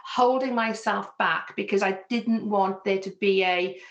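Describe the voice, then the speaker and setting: A woman's voice: medium pitch, steady tone, measured pace, dull audio. A woman with a Welsh accent, a business coach answering interview questions in an earnest, reflective way. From Wales. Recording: echoey room.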